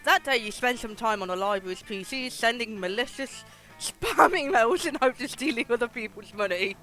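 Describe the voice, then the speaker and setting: A streamer giving live game commentary and reading game text aloud in an animated, giggly way, with casual swearing. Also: mid-range voice, normal speed. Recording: music behind the voice. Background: game music.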